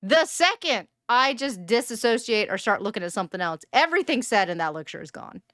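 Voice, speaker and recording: A woman's voice: on the high side, big pitch swings, a little fast, a bit bright, no echo. A woman streamer casually reacting to a video, rambling and wry with lots of fillers, on a close mic.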